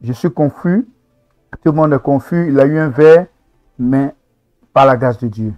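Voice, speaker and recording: A man's voice: medium pitch, unhurried, a little mellow, faint music behind. A man hosting a reaction video, commenting on gospel singers with enthusiastic, preacherly remarks. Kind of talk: casual commentary on a talent show.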